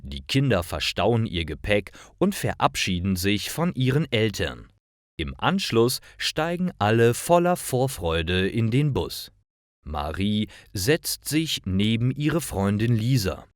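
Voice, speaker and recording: A man reading simple stories as a narrator for language learners, in a deliberate and expressive storytelling style. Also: fairly low pitch, no echo, studio quality. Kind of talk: scripted storytelling narration, read slowly for learners.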